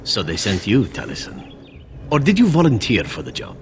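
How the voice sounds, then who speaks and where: An actor playing a suave, taunting elven assassin in a fantasy video game. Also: male voice, fairly low pitch, wildly swooping pitch, moderate pace, a little hiss or hum. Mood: wry challenge. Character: suave male elven assassin turning on his companion.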